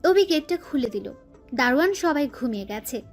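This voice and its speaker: High-pitched woman narrating over soft music. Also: lively intonation, normal speed. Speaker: story narrator. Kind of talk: scripted narration of a romantic story.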